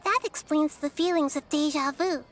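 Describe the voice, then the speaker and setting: A woman voicing a small, girlish young goddess who explains things gently and patiently in a video game. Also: sits high. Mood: patient, serious. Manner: measured, explanatory. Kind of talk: video game character acting.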